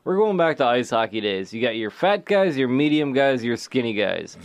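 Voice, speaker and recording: A man's voice: medium pitch, sing-song, moderate pace, dry recording. A man co-hosting a casual sports podcast, joking and rambling, often restarting his sentences. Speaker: podcast co-host.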